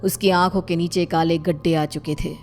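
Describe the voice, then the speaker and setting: A woman's voice: lowish voice, fairly even intonation, normal speed, darkish tone. A woman narrating a scripted story, with music behind her voice.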